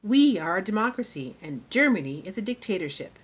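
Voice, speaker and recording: A woman's voice: medium pitch, sing-song, brisk, slightly rough. A woman voicing a prim, patient schoolteacher in an audiobook, precise, didactic and emphatic as she instructs her class. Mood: instructing.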